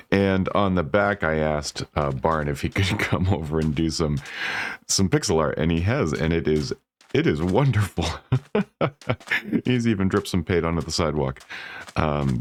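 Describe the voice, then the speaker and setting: A man with a very low voice talks through a block-building game session in a loose, rambling way. He corrects himself now and then and sounds a little congested. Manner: relaxed and rambling, with self-corrections and little laughs. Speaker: Minecraft builder and channel host. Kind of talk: casual gameplay commentary.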